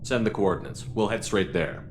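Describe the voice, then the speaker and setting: A man's voice: lowish voice, moderate pace, slight background noise, lightly acted. A man playing a resolute military commander in a video game, with a direct, businesslike way of talking.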